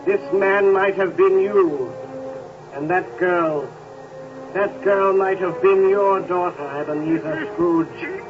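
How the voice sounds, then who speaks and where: An actor in a 1930s radio drama playing a stern male ghost who speaks in a slow, solemn way. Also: sits high. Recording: tinny 1930s radio broadcast with music.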